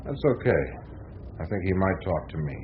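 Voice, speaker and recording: A world-weary newspaperman in a radio play. His lowish voice is dry and sparing with words, full of scepticism. The sound is a little dull. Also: expressive, moderate pace. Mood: polite, probing. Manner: dry, laconic. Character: sceptical, world-weary male New York newspaper reporter. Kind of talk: radio drama dialogue. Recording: slightly dull, noisy radio recording.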